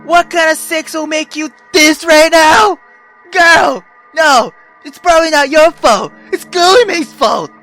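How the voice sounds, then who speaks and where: A male gaming YouTuber reading a visual novel aloud and reacting in a theatrical, excitable way. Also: very high-pitched, slow pace. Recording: music behind the voice. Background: background music.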